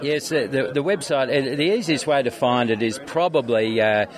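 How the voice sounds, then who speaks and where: A man's voice: mid-range voice, moderate pace. An Australian man chatting casually, telling anecdotes in a rambling, hesitant way. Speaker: interviewee, skeptics convention organiser. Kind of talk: casual chat. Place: busy convention venue. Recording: crowd chatter behind the voice. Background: crowd chatter.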